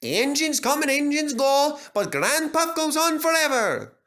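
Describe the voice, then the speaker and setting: A man's voice: sing-song, a little slow, a bit bright, heavily acted. A man voicing a cheeky young steam engine in an impudent singsong, in a storybook reading. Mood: mock solemn. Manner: high-pitched, impudent singsong.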